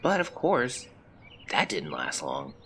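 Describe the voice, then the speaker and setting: An actor playing a melancholy young man who reads out a confessional farewell letter in measured, formal words, as in an audio drama. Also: very deep voice, huge pitch swings, sharp tone, a little hiss or hum, full character voice. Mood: wistful, confiding. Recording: clear birdsong and outdoor ambience behind. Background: birdsong, nature ambience.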